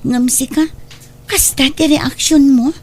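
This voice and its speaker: High-pitched emotive young Ilocano woman acted. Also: steady tone, slow pace. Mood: reproachful. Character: earnest young Filipino woman, pleading, emotional. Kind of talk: Ilocano radio drama acting.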